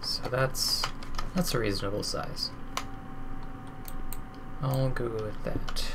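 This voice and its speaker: A hoarse young man thinking aloud with hesitation while streaming a game, describing his building plans. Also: medium pitch, fairly even intonation, slow. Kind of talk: casual gameplay commentary. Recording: loud background hiss and keyboard typing.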